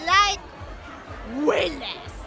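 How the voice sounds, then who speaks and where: A woman voicing a brash, tipsy young woman who teases loudly in an audio drama. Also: very high voice, big pitch swings, very slow, over music, a crowd in the background, heavily acted. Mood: tipsy teasing. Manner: loud, teasing, swings up and down.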